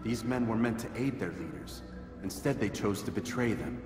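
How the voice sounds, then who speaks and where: A stern young medieval assassin voiced by a man for a video game, low in pitch and formal. He questions seriously, in clipped sentences. The sound rings in a large echoing hall over music. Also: lively intonation, moderate pace, close to an everyday voice. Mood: serious, probing. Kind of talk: dramatic video game dialogue. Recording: echoey hall, music behind.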